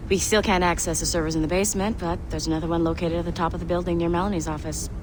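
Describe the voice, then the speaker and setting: A woman playing a brisk, sardonic tech worker in a game, talking in a casual, wry way. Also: on the low side, fairly even intonation, lightly acted. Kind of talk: scripted game dialogue. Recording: long reverb, background noise.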